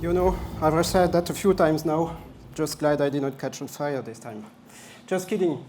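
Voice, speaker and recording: A man's voice: on the high side, moderate pace, darkish tone, soft background music. A man from France with a French accent, presenting a product at a live launch event with some jokes and hesitant fillers. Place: event venue.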